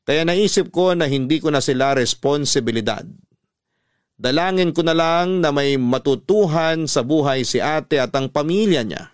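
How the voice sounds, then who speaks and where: A man's voice: a little high, normal speed, dry recording, clean studio sound. A man narrating a listener's letter in the first person as a scripted radio drama story.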